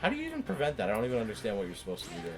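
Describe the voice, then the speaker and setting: A man's voice: fairly low pitch, huge pitch swings, very fast, music in the background. A man chatting casually through a video game, rambling and opinionated as he analyses it. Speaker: gaming show co-host. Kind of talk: casual let's play commentary chat.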